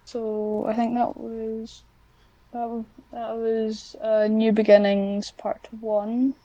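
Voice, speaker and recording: A woman talks as a guest in a casual interview. Her voice sits a little high and has a mellow, relaxed tone. She speaks slowly and hesitantly, often putting herself down, in a dry, close recording. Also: no room sound, muffled recording. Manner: hesitant, self-deprecating. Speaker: guest, hobbyist audio script writer.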